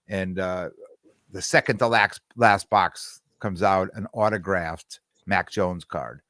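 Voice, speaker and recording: Podcast co-host, middle-aged man, low voice, dry close sound, rambling storyteller. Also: slow, fairly bright tone, no echo. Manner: rambling, repeats words while searching for them. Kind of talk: casual chat.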